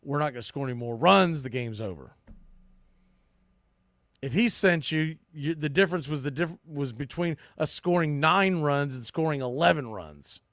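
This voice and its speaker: A man hosts a sports podcast in a fairly high voice, recorded close and dry. He talks informally and puts real emphasis behind his opinions, in the style of casual sports commentary. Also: lively intonation, moderate pace, fairly bright tone, no echo.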